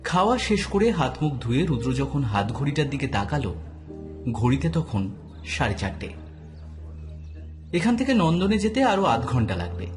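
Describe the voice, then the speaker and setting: Guy narrating a detective audio story, very lively intonation, light music behind him. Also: mid-range voice, moderate pace, pauses often.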